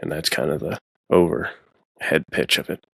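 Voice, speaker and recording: A man's voice: a bass voice, normal speed, creaky, husky, no room sound. A man talking as a guest author in a casual, slangy podcast chat. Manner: informal, slangy.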